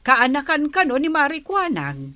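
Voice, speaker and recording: A sharp, scolding wife. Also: female voice, high-pitched, huge pitch swings, normal speed, exaggerated voice. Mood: teasing. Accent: Ilocano. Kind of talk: Ilocano radio drama acting.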